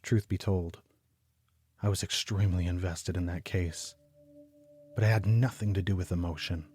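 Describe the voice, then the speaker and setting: An actor playing a brooding, obsessive homicide detective, narrating a noir audio drama in a clinical, deliberate manner. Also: male voice, low-pitched, steady tone, darkish tone, slight background noise, natural voice. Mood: haunted, brooding. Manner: clinical, deliberate, measured delivery. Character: brooding, obsessive male homicide detective recounting grim cases.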